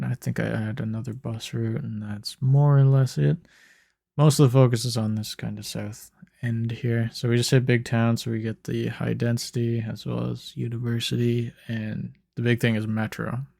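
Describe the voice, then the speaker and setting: Hoarse, low-key man. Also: no echo, clean studio sound. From Canada. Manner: monotone, low-key rambling. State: slightly hoarse. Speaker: gaming YouTuber. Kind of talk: relaxed gameplay commentary. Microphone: desk microphone.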